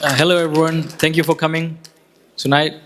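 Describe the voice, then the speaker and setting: A man's voice: a little high, level tone, a little fast, a bit bright, a faint crowd, slight background noise. A man from New Zealand, a first-time contestant, introducing himself briefly and modestly. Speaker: singing contestant.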